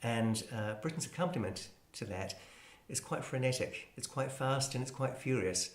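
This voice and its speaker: A man presenting an explanatory talk on classical music in a measured, hesitant, scholarly manner. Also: medium pitch, expressive. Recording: roomy, echoing space.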